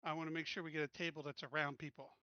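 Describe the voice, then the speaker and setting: Very bright, high-voiced man at game table. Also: flat delivery, racing, smooth, no echo, muffled recording. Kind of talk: casual game-table chat.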